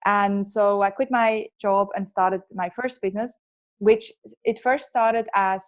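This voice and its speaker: A woman in her thirties with a strong Swiss accent, a guest telling her story openly in a podcast interview, quick bursts with restarts. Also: mid-range voice, steady tone. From Switzerland. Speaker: guest, entrepreneur and author.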